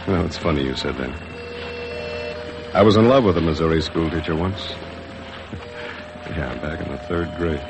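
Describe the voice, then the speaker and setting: An American actor playing a disillusioned, stern Hollywood big shot in 1950s radio drama, with a sardonic way of talking. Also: male voice, extremely low pitch, measured pace, pauses often. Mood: wistful, sardonic. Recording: noisy vintage 1950s radio recording.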